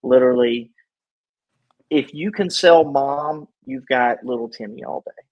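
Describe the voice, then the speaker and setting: A man with a mid-range voice hosts a relaxed podcast interview. He talks informally and with plenty of slang, putting his opinions in as he asks questions. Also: mid-range voice, measured pace, muffled recording. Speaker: podcast host. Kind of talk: casual interview chat.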